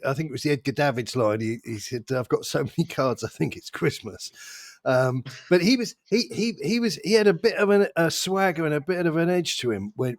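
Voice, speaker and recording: Male podcast host, mid-pitched and closely recorded, for sarcastic, sweary football fan banter. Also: no echo, clean studio sound. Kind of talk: casual fan banter and chat.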